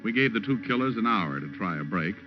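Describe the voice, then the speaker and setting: Male actor as wisecracking detective, low voice, American accent, deadpan, old-time radio style, muffled recording, music bed. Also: quick pace, close to an everyday voice. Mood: wry, narrating. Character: wisecracking hard-boiled private detective narrating his own case. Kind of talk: 1940s-50s radio detective drama acting.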